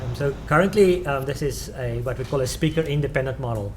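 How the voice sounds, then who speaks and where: A man's voice: medium pitch, quick pace, background noise. A man with a South African accent answering audience questions at a tech talk, hesitant, with many "um"s and restarts. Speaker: conference presenter answering questions. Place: conference room.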